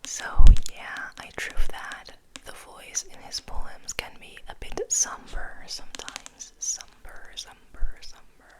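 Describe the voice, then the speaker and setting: Slow whispering woman, ASMR style. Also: low-quality recording. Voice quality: breathy, unvoiced whisper. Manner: slow, halting, gentle pauses between short phrases. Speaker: host of an ASMR channel. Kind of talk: ASMR, soft whispered rambling.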